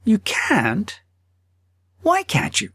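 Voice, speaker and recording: A man's voice: high voice, huge pitch swings, fairly bright tone, slightly rough, dry recording, voice pushed up, heavily acted. Guy doing a devoted wife in an audiobook, earnest and pleading, very up and down, close dry sound. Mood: incredulous. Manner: earnest, emotional, deliberate. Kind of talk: audiobook character acting.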